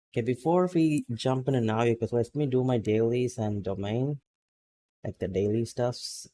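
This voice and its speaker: Male streamer, relaxed and slow-talking, casual chatter with frequent laughs. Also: fairly low pitch, slight background noise. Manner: laid-back, chatty, laughs often, greets chat by name. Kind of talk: casual gameplay stream chat.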